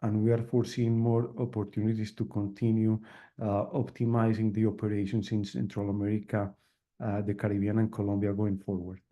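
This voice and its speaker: A man's voice: on the low side, little pitch movement, a little fast. A man speaking as a company executive, answering questions on a business call, with muffled call audio.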